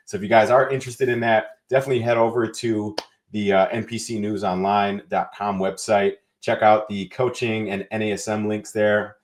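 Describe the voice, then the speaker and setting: Male podcast host, slightly low voice, upbeat and chatty. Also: little pitch movement, moderate pace. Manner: upbeat, conversational with fillers. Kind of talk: podcast interview.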